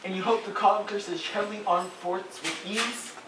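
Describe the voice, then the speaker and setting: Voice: mid-range voice, steady tone, moderate pace, natural voice. An amateur stage actor playing a hesitant, reluctant recruit to a revolution. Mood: doubtful. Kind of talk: amateur stage-play acting. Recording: distant echoey room, noisy background, clicks.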